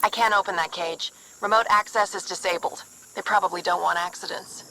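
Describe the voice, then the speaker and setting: A woman's voice: on the low side, fairly even intonation, brisk, background noise, close to an everyday voice. A woman voicing an eager young alien tech helper, uneasy with violence, in a video game. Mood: focused, helpful.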